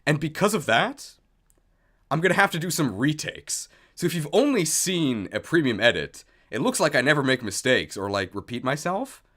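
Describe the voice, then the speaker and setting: A man's voice: fairly high pitch, very animated, normal speed, a bit bright. A man hosting a livestream, chatting casually and thinking aloud in a jokey, self-deprecating way. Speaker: streamer, video host. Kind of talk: casual livestream chat while setting up.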